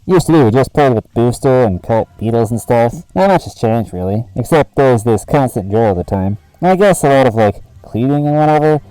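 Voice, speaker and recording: A man voicing a small, jittery but laid-back male minion creature with a loud, high, excitable voice. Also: a little fast, few pauses, clean tone, dry recording, close to an everyday voice, low-quality recording. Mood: casual.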